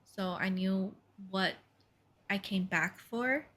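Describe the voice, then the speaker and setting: A woman's voice: medium pitch, slow, fairly bright tone. Chatty young woman with a North American accent. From Taiwan. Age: 20s. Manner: chatty, many fillers and quoted asides. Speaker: podcast co-host. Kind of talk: casual chat.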